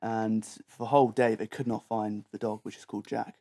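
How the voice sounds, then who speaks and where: A man's voice: on the low side, flat delivery, a little fast, warm tone. A young adult British man telling an anecdote in a casual, slangy way, quoting his own thoughts as he goes.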